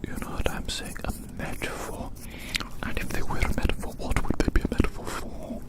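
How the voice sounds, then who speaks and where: Whispering ASMR roleplayer, musing and repetitive. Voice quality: breathy unvoiced whisper. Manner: musing, repeats phrases slowly and deliberately.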